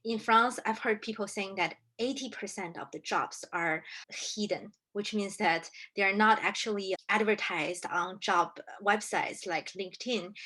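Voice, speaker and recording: A woman's voice: medium pitch, fairly bright tone, husky, low-quality recording. A woman hosting an interview and asking her guests friendly questions. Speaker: host.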